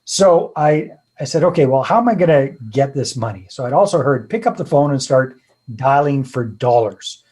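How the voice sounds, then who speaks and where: A man's voice: mid-range voice, animated, moderate pace, darkish tone, husky. A middle-aged Canadian man from British Columbia, a podcast guest telling his story in a chatty, self-deprecating way and laughing easily. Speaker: podcast guest, real estate investor. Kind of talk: casual storytelling interview.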